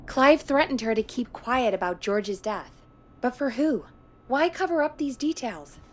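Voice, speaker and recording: An actress playing a level-headed, cautious radio show producer in a video game, composed and practical. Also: female voice, fairly high pitch, animated, unhurried.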